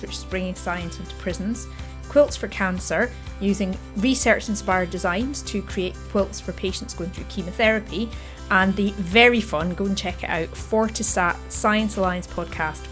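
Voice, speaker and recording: A woman hosting a podcast, reading a clear, upbeat scripted introduction and then interviewing her guest in a friendly way. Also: mid-range voice. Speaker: podcast host.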